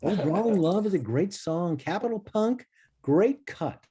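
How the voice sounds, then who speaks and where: A man's voice: high voice, animated, a little slow, dark tone, husky, full character voice. A man playing a blunt, exacting but encouraging music studio boss, in a play reading over a video call. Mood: frank appraisal. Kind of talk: table read of a play.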